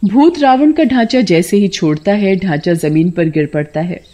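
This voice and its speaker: A woman's voice: fairly low pitch, moderate pace, mellow. A woman narrating a scripted story for a cartoon.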